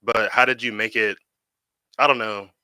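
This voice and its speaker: A man's voice: on the low side, fairly even intonation, normal speed, bright, forceful tone, clear, resonant tone, dry recording, poor audio. A man hosting a podcast, asking casual questions and searching for his words. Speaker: podcast host.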